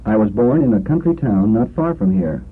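A man slowly and carefully reading drill sentences for a language lesson. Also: on the low side, very animated, slightly rough, phone-quality sound.

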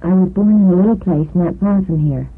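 A man reading drill sentences in a measured, clearly enunciated way for a language lesson. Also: sits high, phone-quality sound.

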